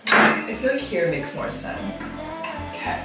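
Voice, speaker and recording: A woman's voice: a little high, sing-song, unhurried. A woman in her thirties chatting casually to camera as a vlogger, thinking aloud as she does tasks around an empty apartment. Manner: informal, thinking aloud while doing tasks. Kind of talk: casual vlog chat. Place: empty apartment and small tiled bathroom, indoors. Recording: echoey empty rooms, background music.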